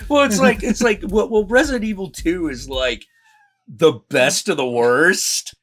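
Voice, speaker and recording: A man co-hosting a casual review chat, rambling and halting, swearing freely as he goes. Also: sits high, fairly bright tone, soft background music. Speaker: co-host of a movie review vlog.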